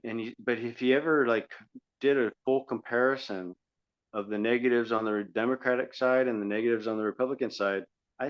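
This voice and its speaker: A man co-hosting a podcast, giving argumentative, critical political commentary in a conversational style. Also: mid-range voice. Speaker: podcast co-host. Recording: dull lo-fi audio.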